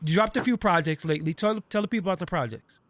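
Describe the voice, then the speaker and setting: A man's voice: sits high, very fast, fairly bright tone, no echo. A man hosting an online interview, chatting casually with lots of fillers and street slang. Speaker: host.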